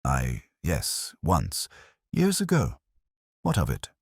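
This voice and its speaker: An irritable town councillor from a detective story, as a male narrator plays him. He is defensive and dismissive, in a low, husky voice with swooping pitch. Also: wildly swooping pitch, slow, darkish tone, no echo, clean studio sound, exaggerated voice.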